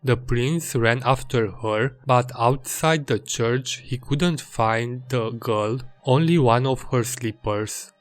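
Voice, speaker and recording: A man narrates a scripted voice-over for an art video. He speaks slowly at a medium pitch, in a plain and measured manner. Faint music plays behind him. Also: dry recording. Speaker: illustrator and video creator.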